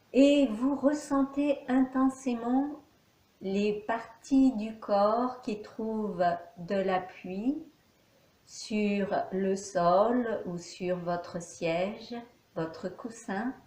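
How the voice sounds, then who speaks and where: A woman teaching yoga nidra, guiding a meditation calmly in short, measured phrases with pauses between them. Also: fairly high pitch. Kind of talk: guided meditation, calm instruction. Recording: echoey, reverberant room.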